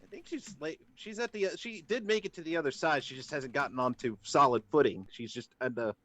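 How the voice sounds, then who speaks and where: A man playing a tabletop game, explaining his moves in a careful, measured way. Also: a little high, pushed and bright, slight background noise. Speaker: player. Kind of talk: casual tabletop game chat.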